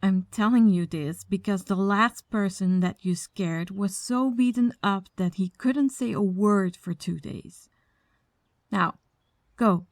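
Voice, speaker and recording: A female mafia boss, played by a voice actress, speaks slowly and deliberately, close and dry. She is commanding and condescending, with a mocking, quiet menace suited to an ASMR crime roleplay. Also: medium pitch, no echo. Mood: calmly commanding. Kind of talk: ASMR roleplay audio drama.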